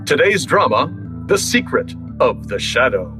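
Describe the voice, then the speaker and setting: A man's voice: mid-range voice, big pitch swings, a little slow. A man doing dramatic, emphatic scripted narration as an old-time radio announcer. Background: music.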